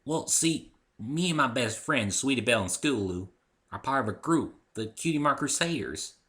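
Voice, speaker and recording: A man acts out a young country filly from a pony story. The voice is lifted and bright, sweet and earnest, with a hesitant, folksy way of talking. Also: medium pitch, lively intonation, moderate pace, voice pushed up. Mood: downhearted, earnest.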